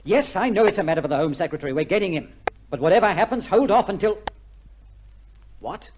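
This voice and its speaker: Faintly noisy recording of tense colonel. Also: male voice, sits high, expressive, fast, darkish tone. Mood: urgent, stunned. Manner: crisp, urgent, authoritative. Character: tense, brisk colonel racing to halt an execution. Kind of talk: old-time radio drama acting.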